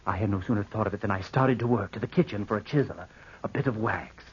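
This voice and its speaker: An actor playing a spendthrift young nephew in a vintage radio drama, melodramatic and self-pitying, with a scheming streak. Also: male voice, lowish voice, quick pace, slightly rough, lo-fi sound. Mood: brooding, sinister. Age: young adult. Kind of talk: 1940s radio acting with first-person narration.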